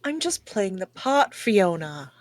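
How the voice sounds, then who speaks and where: A woman's voice: mid-range voice, very animated. A woman playing a smarmy vain prince. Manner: smooth, flirtatious, slow drawl.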